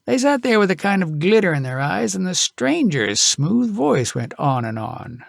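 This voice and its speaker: A man reads a tall tale in a folksy, dramatic storyteller's style. His pitch sits a little high and moves around expressively, with a creaky grain in the voice. The sound is dry and intimate. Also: sing-song, normal speed, no room sound. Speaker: audiobook narrator.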